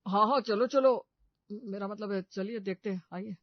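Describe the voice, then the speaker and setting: A woman's voice: mid-range voice, normal speed, no room sound, highs cut off, close to an everyday voice. A woman voicing a whiny, hesitant young son-in-law in an exaggerated Hindi comedy cartoon. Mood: excited, desperate.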